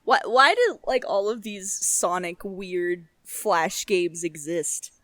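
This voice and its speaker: A young woman co-hosting a podcast, chatting casually in an animated, emphatic way and swearing freely. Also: on the low side, slow pace, no echo. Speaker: podcast co-host. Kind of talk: casual chat.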